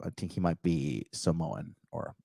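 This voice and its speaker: A man hosting a livestream, reading an article aloud and answering the chat in a blunt, crude way, with a raspy, slightly nasal voice. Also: low voice, fairly even intonation, measured pace, mellow, no room sound, studio quality. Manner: blunt, crude, talks back to live chat. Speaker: podcast host.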